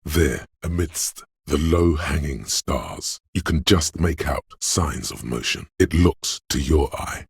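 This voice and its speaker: An actor voicing a calm, observant male inner voice of the senses in a game, narrating in a measured, deliberate way. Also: very deep voice, creaky, slightly rough, no room sound, clean studio sound, full character voice. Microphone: studio mic.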